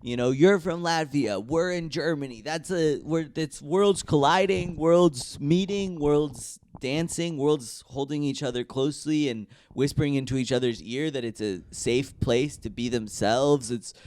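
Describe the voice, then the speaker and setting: A man's voice: fairly high pitch, a little slow, few pauses, a little mellow. A man co-hosting a comedy podcast, teasing and riffing in casual chat. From America.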